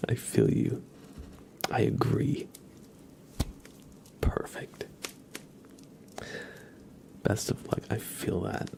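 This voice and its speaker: Whispering man with a creaky low voice. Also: sing-song, drawn-out words, long pauses. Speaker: livestream host. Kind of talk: whispered ASMR livestream, chatting with viewers.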